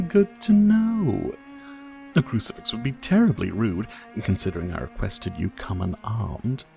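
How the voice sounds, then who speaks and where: A man's voice: lowish voice, lively intonation, slow, gravelly, dark tone, soft background music, full character voice. A man playing a sadistic, courtly vampire lord in an ASMR roleplay, speaking in a silky, formal, teasing and theatrical manner. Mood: teasing, curious. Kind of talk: ASMR roleplay, dramatic monologue to listener.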